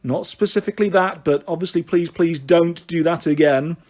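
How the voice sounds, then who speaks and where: A man's voice: fairly high pitch, normal speed, darkish tone, lightly acted. A man voicing an exasperated, fussing mother who scolds her children in a children's storybook.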